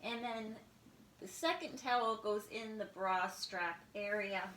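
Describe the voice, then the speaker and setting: A woman leading a guided yoga class, giving calm, step-by-step instructions. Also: mid-range voice, measured pace, a little hiss or hum. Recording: echoey room with music behind. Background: music.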